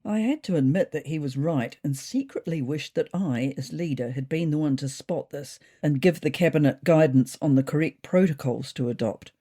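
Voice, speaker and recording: A narrator reading a scripted first-person story in an expressive storytelling manner. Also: mid-range voice, moderate pace, few pauses.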